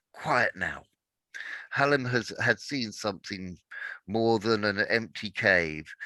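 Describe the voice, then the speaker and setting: A man reading a dark adventure story aloud in dramatic narration, now and then stumbling over words and correcting himself. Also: fairly low pitch, dry recording, rough recording.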